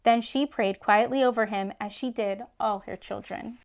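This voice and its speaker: A woman reading a children's story aloud with expressive storybook narration. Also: on the high side, normal speed, vocal fry. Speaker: children's read-aloud host.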